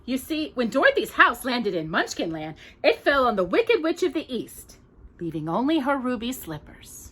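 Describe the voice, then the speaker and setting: A woman telling a children's story in an expressive, dramatic manner, drawing out key words. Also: high-pitched, moderate pace. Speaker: storyteller, mother reading to her daughter. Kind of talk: children's storytelling with bits of song.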